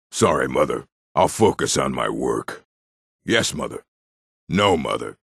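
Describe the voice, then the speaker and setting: Deep, gravelly orc warrior. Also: male voice, a bit bright, no echo, clean studio sound, heavily acted. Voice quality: gravelly, husky. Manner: stern, blunt, weighty delivery. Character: proud, gruff male orc warrior of a stronghold clan. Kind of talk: fantasy video game character lines.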